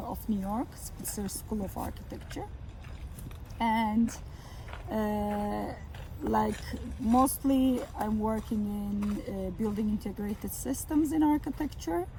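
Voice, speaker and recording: A middle-aged woman from Turkey, a professor talking outdoors in a garden, speaking English with many fillers and pauses. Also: fairly high pitch, noisy background. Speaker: architecture professor. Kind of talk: outdoor conversation.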